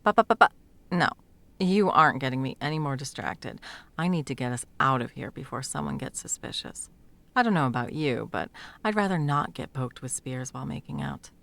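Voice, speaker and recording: A woman's voice: extremely low pitch, animated, a little fast, creaky, a bit bright, exaggerated voice. A woman playing a brash, sweary, bossy sound mage with a teasing fondness, in an intimate audio roleplay. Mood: teasing, fond. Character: brash, foul-mouthed, teasing female sound mage, secretly smitten. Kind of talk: intimate second-person audio roleplay acting.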